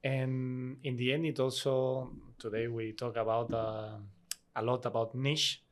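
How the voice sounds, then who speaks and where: A man hosting a casual interview, relaxed and agreeable, building on his guest's points. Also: medium pitch, measured pace. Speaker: interview host.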